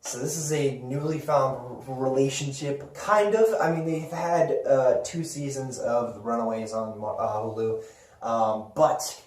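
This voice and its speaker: A man hosting a casual fan discussion show, talking in an excitable, informal and emphatic way. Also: mid-range voice, measured pace. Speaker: host of a pop culture video show. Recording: roomy echo, distant mic.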